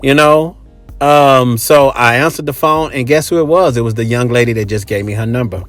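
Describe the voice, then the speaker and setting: A man's voice: mid-range voice, moderate pace, dry recording. Blunt middle-aged man over music. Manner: blunt, emphatic, conversational. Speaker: host. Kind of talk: casual storytelling monologue.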